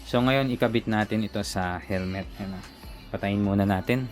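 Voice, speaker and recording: A man's voice: on the low side, normal speed. A Filipino man casually talking through a hands-on product installation step by step, as a reviewer. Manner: informal, step-by-step, narrating own hands-on work. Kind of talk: casual unboxing and installation walkthrough. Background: music.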